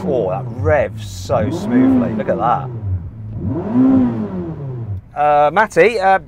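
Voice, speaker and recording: A male presenter with an English Midlands accent, doing excitable, joking car commentary at an outdoor test track. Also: mid-range voice, takes it slowly, gravelly, heavy background noise. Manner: excitable, joking, bursts of shouting.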